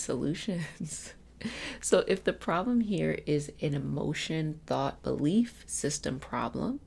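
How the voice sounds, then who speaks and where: A woman's voice: sits low, vocal fry, a little mellow. A woman giving an ASMR self-help talk in a soothing, reassuring, conversational coaching manner. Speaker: ASMR host and energy healing practitioner.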